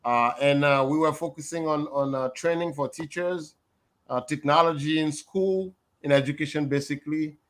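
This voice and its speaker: An older man from Haiti, a guest on a video call, speaking in a measured, reflective way with many fillers. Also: a little high, fairly even intonation, fairly bright tone. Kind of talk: casual interview talk.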